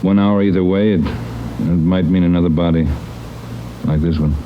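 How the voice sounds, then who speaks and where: A man playing a brisk, commanding American senior police detective in an old radio drama, curt and authoritative. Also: low voice, steady tone, natural voice. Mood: urgent, commanding. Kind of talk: 1940s-50s radio drama acting. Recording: dull, hissy vintage radio recording.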